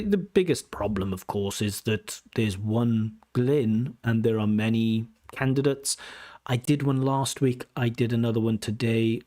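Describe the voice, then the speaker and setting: A Welsh man hosts a relaxed livestream, reading out viewer questions and answering them. He sounds chatty and sure of himself, with frequent ums and false starts. His voice sits at an ordinary middle pitch for a man. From Wales. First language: English. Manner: chatty, self-assured, many ums and restarts. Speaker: IELTS examiner hosting a livestream.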